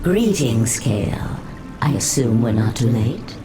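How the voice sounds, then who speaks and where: A woman playing a sly, commanding serpent sorceress in a fantasy game, measured, seductive and persuasive. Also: a bass voice, lively intonation, exaggerated voice. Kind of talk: dramatic fantasy game voice acting. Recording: background music under the voice.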